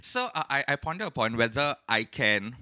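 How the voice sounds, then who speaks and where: A man's voice: mid-range voice, expressive, normal speed, bright, forceful tone, smooth, no room sound, clean studio sound. A young man with a Singaporean accent chatting candidly and emphatically as a podcast co-host. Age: young adult. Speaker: podcast co-host, indie content creator. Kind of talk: casual podcast chat.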